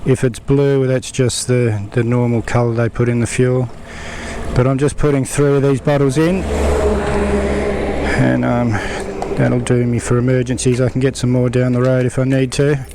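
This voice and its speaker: A man's voice: mid-range voice, level tone, moderate pace. A man in his fifties, congested and sore, chatting casually to viewers about his motorcycle trip. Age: 50s. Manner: chatty, addresses viewers as guys. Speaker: motorcycle travel vlogger. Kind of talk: casual vlog to camera. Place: outdoors by roads on a motorcycle trip. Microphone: action camera. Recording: loud road and traffic noise outdoors.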